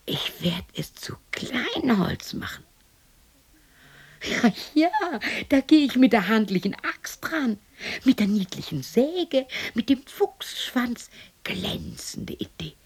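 Husky, bitter widow in her sixties. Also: fairly high pitch, very animated, slow pace, exaggerated voice. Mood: grimly gleeful. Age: 60s. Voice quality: husky, creaky, often dropping to a breathy whisper. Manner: talks to herself, scolding and questioning, abrupt shifts. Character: lonely, bitter sixty-year-old widow muttering to herself. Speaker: lead actress in a radio play. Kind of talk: radio play monologue.

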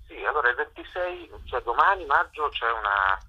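A man answering as a guest in a radio interview, hesitant and halting as he searches for words. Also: medium pitch, animated, pushed and bright, slightly rough. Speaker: interview guest, theatre artist and workshop teacher. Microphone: telephone. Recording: dull telephone line on radio.

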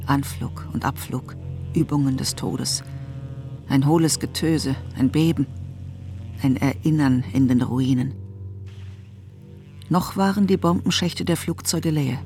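An actor playing an intense, sardonic narrator of war memories in a poetic radio drama. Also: male voice, medium pitch, measured pace, gravelly, long pauses, darkish tone. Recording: music behind the voice.

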